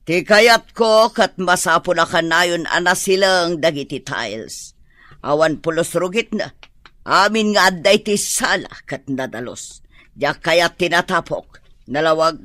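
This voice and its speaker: Imperious, animated lady employer. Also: lowish voice, no room sound. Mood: stern, bossy. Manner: brisk, commanding, fussy. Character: imperious, demanding wealthy mother and lady employer. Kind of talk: radio drama acting.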